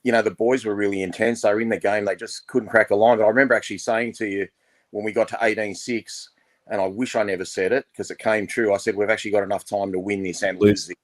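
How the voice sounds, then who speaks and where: A deep-voiced Australian man talks loosely on a podcast, his voice still hoarse as it recovers. He rambles along with plenty of fillers and restarts. The recording is dry and close. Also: fast, no room sound. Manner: relaxed, rambling, many fillers. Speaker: podcast co-host. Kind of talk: casual chat.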